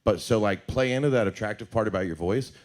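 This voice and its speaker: A young man with a deep voice, co-hosting and giving earnest, rambling feedback on a song. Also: quick pace, darkish tone. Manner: earnest, rambling, lots of fillers. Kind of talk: casual feedback chat.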